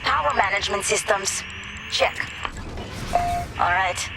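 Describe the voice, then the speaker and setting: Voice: medium pitch, animated, slow pace, low-quality recording. An actor playing a friendly, eager, chatty starfighter mechanic in a video game, talking informally over music. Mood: businesslike, upbeat. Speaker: hangar mechanic. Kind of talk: cinematic video game dialogue.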